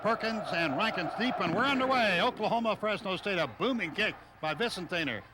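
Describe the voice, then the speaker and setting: High-voiced American football play-by-play man. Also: animated, a little fast, sharp tone. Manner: clipped running description in short phrases. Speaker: radio play-by-play announcer. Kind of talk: live American football radio commentary. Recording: noisy live stadium radio broadcast.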